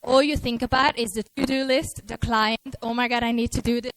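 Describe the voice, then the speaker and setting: Lively woman speaker on a handheld mic. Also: on the high side, fairly quick, no echo. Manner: lively, self-mocking, acts out her own inner worries. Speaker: conference speaker. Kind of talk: motivational stage talk. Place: festival stage before a live audience.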